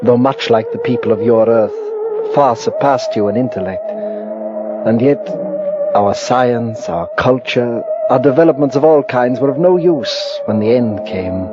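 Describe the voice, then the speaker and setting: An actor playing a calm, commanding godlike alien man in an old radio drama, speaking in a solemn, formal, hypnotic way. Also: mid-range voice, a little slow. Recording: dull old radio recording, loud music underneath.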